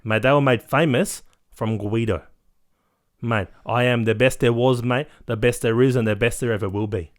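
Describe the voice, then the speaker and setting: A man's voice: mid-range voice, fairly even intonation, moderate pace, lightly acted. A man voicing a cocky, boastful male ladies' man who cuts in and says "mate" nearly every line. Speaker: comedic character guest.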